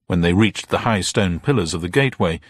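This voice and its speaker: A man narrating a detective story as a scripted, dramatic reading, with a husky voice. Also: low-pitched, expressive, a little fast, no echo, studio quality.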